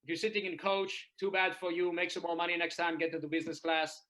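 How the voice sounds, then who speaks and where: A man's voice: fairly high pitch, steady tone, quick pace, sharp tone. A man doing a cocky boastful airline pilot. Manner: blunt, swaggering announcements.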